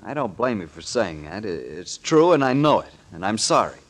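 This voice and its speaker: An actor playing a contrite, impulsive young man, halting and earnest, in 1940s radio drama style. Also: on the low side, big pitch swings. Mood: apologetic.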